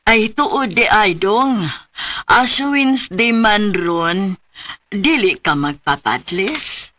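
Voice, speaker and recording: A woman's voice: medium pitch, animated, slow pace, dry recording. An actress playing a polite, apologetic middle-aged landlady. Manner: apologetic, gentle. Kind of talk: radio drama acting.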